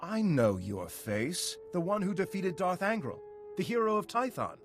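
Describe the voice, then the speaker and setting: Grave, weary Jedi scout. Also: male voice, high voice, big pitch swings, moderate pace, soft background music. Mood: wary, stunned. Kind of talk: video game cutscene acting.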